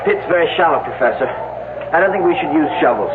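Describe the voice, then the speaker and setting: An actor playing an earnest, methodical young archaeology student in a radio mystery, precise and matter-of-fact. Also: male voice, on the high side, animated, fast, close to an everyday voice. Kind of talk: 1970s radio mystery acting. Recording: noisy, phone-like old radio recording.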